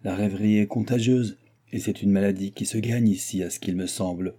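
A man doing a grumbling old baron, rough-edged and mellow. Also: lowish voice, steady tone, fairly quick, natural voice. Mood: mocking. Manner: exclamatory, sardonic ranting. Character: cynical, grumbling old baron, bitter and proud. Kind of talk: audiobook character dialogue.

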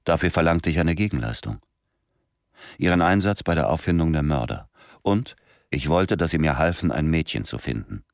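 A weary, suspicious ex-agent with a very low male voice. He speaks with brooding restraint, as the narrator and lead of a radio drama. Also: lively intonation, normal speed, creaky, no room sound, studio quality, heavily acted. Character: exhausted, suspicious former agent telling his story.

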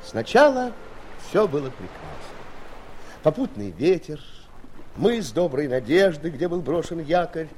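An actor telling a sea adventure tale as the theatrical narrator of an audio play. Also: male voice, fairly high pitch, sing-song, measured pace, a little mellow, slight background noise. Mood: dramatic storytelling. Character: storyteller of a sea tale, doubling as ship's captain. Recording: vintage mono record, music behind.

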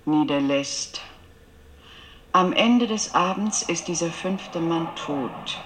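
A faintly noisy tape recording carries a woman dictating a crime story. She speaks very slowly in a lowish voice, in old radio drama style. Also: fairly bright tone. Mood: measured, storytelling. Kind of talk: slow narration in a 1970s radio play.

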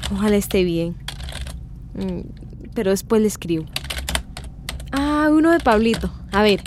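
A woman's voice: mid-range voice, lively intonation, a little slow, noisy background, natural voice. A woman playing a young, anxious, dreamy migrant housemaid in a radio drama, sighing and exclaiming as she muses. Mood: musing, worried. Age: young adult. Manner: sighing, exclamatory, musing to herself. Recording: music behind the voice.

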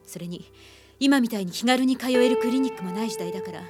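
An actress playing a composed, haunted psychiatrist, speaking in a measured, grave way in a Japanese radio drama. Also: female voice, on the high side, music in the background, close to an everyday voice. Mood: somber, reflective. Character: composed, haunted female psychiatrist telling her dark past.

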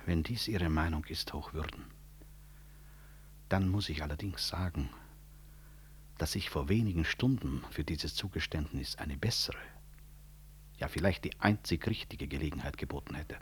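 A proud professor of medicine in a 1960s radio drama, in a very deep bass voice over faint recording noise. He speaks with formal courtesy and a dry, quietly principled irony. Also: normal speed, pauses often. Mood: reserved, probing.

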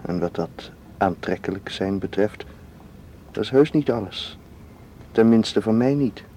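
An actor in a Dutch radio play, playing a devoted, calmly persuasive man who keeps secrets. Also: lowish voice, unhurried, a little hiss or hum. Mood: earnest. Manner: calm, gently insistent.

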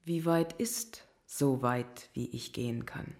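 A woman's voice: a bass voice, takes it slowly, a little mellow, heavily acted. An actress playing a guarded, world-weary call girl with a cool, testing manner in a radio thriller. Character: guarded, world-weary female prostitute in therapy. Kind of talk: German radio thriller acting.

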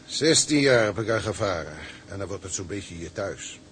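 An actor playing a weary, sentimental older tugboat captain in a Dutch radio drama, halting and fatherly. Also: on the low side, expressive, a bit bright, slight background noise. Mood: wistful.

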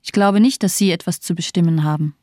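A woman's voice: fairly low pitch, fast, warm tone, dry recording, studio quality, close to an everyday voice. A woman playing a cold, mocking and unmoved doctor in a radio crime drama, cool and matter-of-fact. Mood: cold, dismissive.

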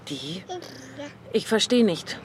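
A woman's voice: medium pitch, animated, takes it slowly. An actress playing a lonely, self-doubting single woman, hesitant and subdued, in a radio drama. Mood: wary, wistful. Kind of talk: German radio crime drama acting. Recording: clear background noise, vehicle ambience.

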